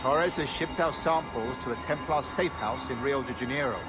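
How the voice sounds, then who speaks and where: An actor as haughty colonial governor over loud music. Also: male voice, mid-range voice, lightly acted. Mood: smooth, assured. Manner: formal, measured, self-assured. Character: haughty, ruthless governor and slave-owning conspirator. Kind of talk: video game cutscene acting. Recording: orchestral game music behind.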